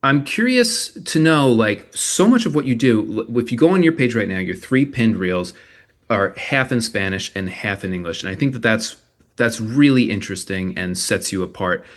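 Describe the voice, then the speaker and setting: A man's voice: on the low side, animated, moderate pace. A man moderating an online panel, introducing guests and asking long, enthusiastic multi-part questions.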